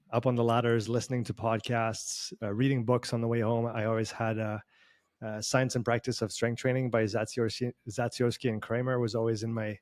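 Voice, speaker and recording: A man's voice: mid-range voice, no room sound. Swiss guy with a bit of canadian accent, chatty and full of uhs, sounds dry and close. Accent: Swiss with a slight Canadian sound. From Switzerland. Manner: relaxed, rambling storytelling with many fillers. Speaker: performance coach guest. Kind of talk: casual podcast interview. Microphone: video call.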